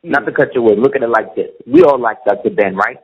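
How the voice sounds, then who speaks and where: Emphatic man with a husky voice. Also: medium pitch, fast. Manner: emphatic, keeps asking "you see what I'm saying". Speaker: guest. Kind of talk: casual conversation. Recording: muffled lo-fi audio.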